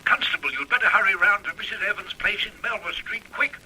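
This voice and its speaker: An actress playing an urgent, hurried woman phoning the police in an old radio crime drama, heard through a telephone filter. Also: medium pitch, bright, forceful tone, slight background noise, rough recording.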